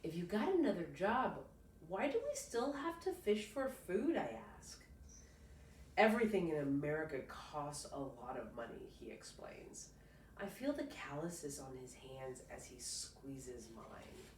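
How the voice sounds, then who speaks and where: A woman teacher reading a picture book aloud to a child, pausing to explain and prompt with encouraging questions. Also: deep voice, big pitch swings, a little mellow. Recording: roomy, distant mic, faint noise.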